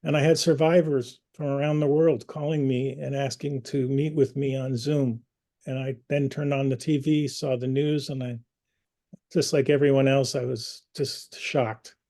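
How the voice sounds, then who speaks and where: A man hosting an online community meeting, speaking earnestly and personally, pausing with fillers and restarts. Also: mid-range voice, little pitch movement, warm tone. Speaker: community group host.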